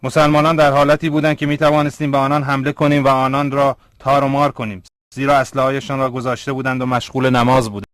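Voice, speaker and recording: A man playing a plain, deliberate speaker in scripted dialogue for a religious educational cartoon. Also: medium pitch, level tone, fairly bright tone, no room sound, clean studio sound, lightly acted. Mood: scheming.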